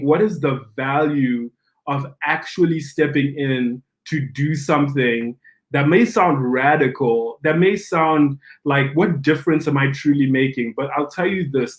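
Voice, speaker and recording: A young adult man from Zimbabwe, a pastor, telling his story in a casual podcast chat, animated, often restarting and acting out quoted dialogue. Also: on the high side.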